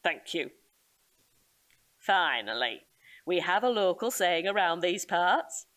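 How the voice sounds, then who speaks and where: An earnest reserve guide in an audio comedy drama. Her voice is lowish and slow, with solemn, formal emphasis on each instruction. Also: very animated, fairly bright tone, heavily acted. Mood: ceremonious, welcoming.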